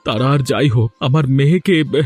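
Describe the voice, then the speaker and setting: A man's voice: mid-range voice, lively intonation, fairly quick, a little mellow, lightly acted. A man voicing a devoted, worried village father in a melodramatic cartoon, earnest and reassuring. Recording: music behind the voice.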